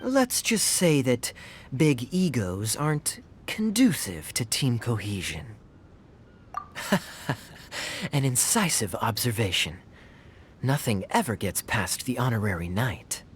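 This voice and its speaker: A man voicing a suave, teasing young knight captain in a video game cutscene, sly, playful and smooth-talking. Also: mid-range voice, sing-song, slow, long pauses, a little hiss or hum. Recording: music playing behind the voice.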